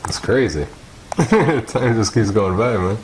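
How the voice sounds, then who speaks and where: A man's voice: lowish voice, animated, slow pace. A man in his thirties doing a casual interview in a laid-back way, reacting with short remarks. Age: 30s. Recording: noisy radio-like call audio, clicks.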